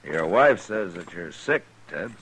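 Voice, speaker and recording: An actor playing a calm, determined U.S. Marshal in a radio western, speaking in an unhurried, understated, firm manner. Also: male voice, low-pitched, slight background noise, exaggerated voice. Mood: friendly. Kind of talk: 1950s radio western acting.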